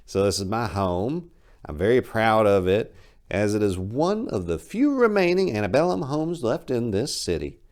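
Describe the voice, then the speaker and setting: A man's voice: lowish voice, very animated, normal speed, darkish tone, voice pushed up. A man voicing a proud, eager academic in a storybook horror tale, speaking earnestly and politely.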